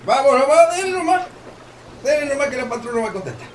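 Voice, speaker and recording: A folksy old Argentine country fellow in a radio play, boisterous and mocking. His high voice leaps about with rural exclamations and a readiness to cackle, heard through a noisy, distant recording. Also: sing-song, fast talker, roomy sound. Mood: jovial, folksy. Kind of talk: radio drama acting.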